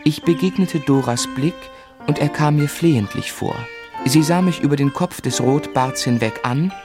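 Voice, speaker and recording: A man playing an ardent young gentleman who narrates and acts his own story in a radio drama, earnest and expressive. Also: on the high side, normal speed, over music, natural voice. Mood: wounded pride. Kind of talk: literary first-person narration and German radio drama acting.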